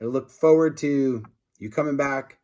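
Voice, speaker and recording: A man reading a story aloud to children in an expressive, dramatic way, adding casual asides. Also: medium pitch, moderate pace. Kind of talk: animated read-aloud narration with asides.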